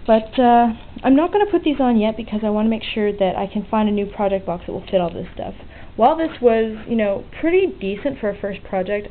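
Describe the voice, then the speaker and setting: Thin, phone-like audio with faint noise carries a woman's voice at an ordinary pitch. She talks informally and frankly, explaining as she goes through an unscripted hands-on tutorial. It sounds like a homemade hobby recording. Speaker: DIY electronics hobbyist presenting a tutorial. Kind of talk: casual unscripted hands-on demonstration.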